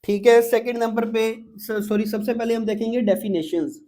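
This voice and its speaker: A man's voice: high voice, fairly even intonation, moderate pace, mellow. A man with a Pakistani accent giving an exam-prep lecture, explaining step by step as a teacher and often repeating points with little check-in words.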